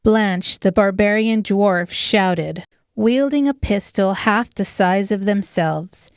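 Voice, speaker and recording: A woman reads scripted fantasy narration for an audiobook. She speaks slowly in a clear, medium-pitched voice, with a very dry sound. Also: dry recording.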